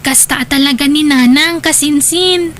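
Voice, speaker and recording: A woman's voice: sits high, measured pace, a bit bright, a little hiss or hum. A woman playing a sweet, understanding young schoolgirl in an audio drama, agreeable, with a Filipino accent. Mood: curious, sympathetic.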